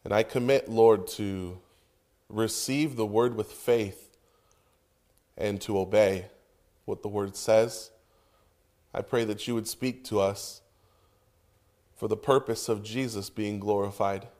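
A man preaching an earnest sermon from the pulpit, reading scripture and praying, with emphatic pauses. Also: fairly low pitch, steady tone, darkish tone. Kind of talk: sermon with prayer.

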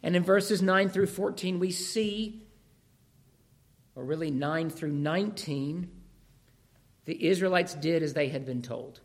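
A male preacher with a fairly high voice delivers a sermon, taking his time over each phrase. His voice is wearing out, and he keeps posing rhetorical questions to the congregation. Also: a little slow, pauses often. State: losing his voice.

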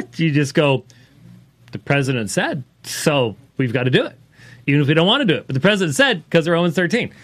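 A man's voice: on the high side, sing-song, brisk, long pauses. Conversational man hosting a call-in show. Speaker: host of a religious call-in podcast. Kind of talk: casual unscripted talk show monologue.

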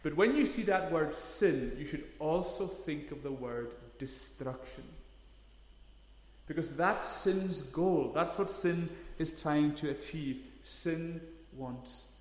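A man's voice: a little high, expressive, takes it slowly. A man, preaching a sermon in an earnest, emphatic way, leaning hard on key words. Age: 30s. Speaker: pastor. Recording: echoey hall, long reverb.

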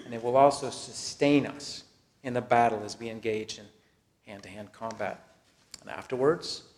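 A man with an American accent preaching a sermon and reading scripture, speaking in deliberate, emphatic phrases that fall away softly at the end. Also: medium pitch. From Texas, United States.

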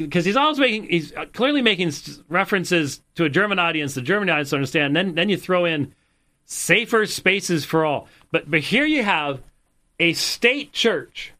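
A man with a rather high, bright and forceful voice comments on clips. He speaks emphatically and hammers his points home by repeating them.

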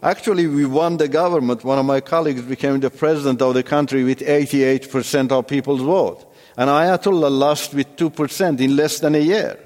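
A man from Iran whose first language is Turkish, giving a personal testimony in a halting way with many restarts. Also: mid-range voice, steady tone, darkish tone, big-room sound. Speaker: testimony speaker.

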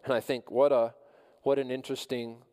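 A man preaching a sermon as pastor, measured and earnest, often repeating phrases for emphasis. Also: medium pitch, flat delivery, warm tone, in a large hall. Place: church.

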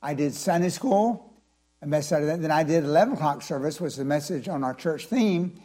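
An older man preaching an evening sermon, his voice a little raspy from strain. He talks folksy and chatty, with loose asides, then climbs into emphatic preaching. His pitch sits a touch higher than most men's. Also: lively intonation, brisk, mellow. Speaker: pastor.

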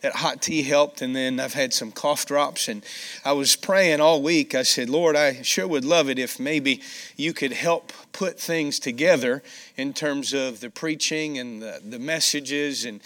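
A man's voice: mid-range voice, unhurried, a bit bright. A man preaching a sermon in a folksy way, with a scratchy voice. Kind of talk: sermon with scripture reading.

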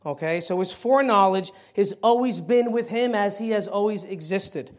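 A man preaching an evening sermon in church, conversational with fillers and restarts, his throat a little hoarse. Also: high-pitched, moderate pace. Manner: conversational, many fillers and restarts, rising emphasis on key points. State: slightly hoarse throat, clearing it. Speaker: lay preacher filling the pulpit. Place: church sanctuary.

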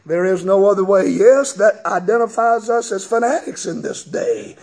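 A man preaching a fiery revival sermon in a church, a strong voice and a chanting, shouting cadence, as the congregation answers him. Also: high-pitched, animated, moderate pace. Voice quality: strong, gravelly voice. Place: church sanctuary with congregation responding.

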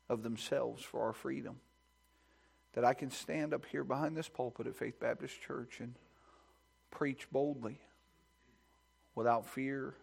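A pastor speaks from the pulpit of a church sanctuary, his voice sitting at an ordinary pitch for a man. He moves between a hushed, earnest prayer and climbing, loud, fervent preaching. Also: vocal fry. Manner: builds to loud, high-pitched preaching fervor; soft, hushed praying voice. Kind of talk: sermon with scripture reading and prayer.

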